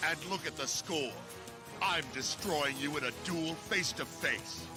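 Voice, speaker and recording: A man's voice: fairly high pitch, measured pace, natural voice. An actor voicing a cold, scheming male villain posing as someone else, with a commanding, condescending manner. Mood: smug. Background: dub soundtrack music.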